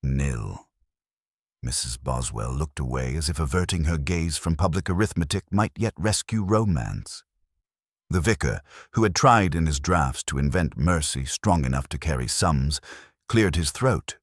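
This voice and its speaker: A British man reading literary mystery narration in a measured, formal, dryly wry manner. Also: rumbling low, expressive, slightly rough, dry recording, clean studio sound. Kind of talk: literary audiobook-style scripted narration of a mystery story.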